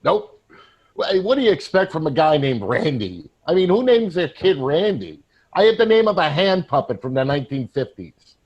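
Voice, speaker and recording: A man's voice: on the high side, sing-song, normal speed, a little mellow, dull audio. A man playing a flippant, teasing, self-satisfied drinking buddy in a staged play reading. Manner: wry, teasing.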